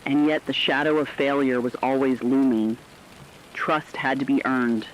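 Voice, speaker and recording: A man giving dramatic scripted science-fiction narration with a serious, deliberate delivery. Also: mid-range voice, steady tone, slight background noise, low-quality recording.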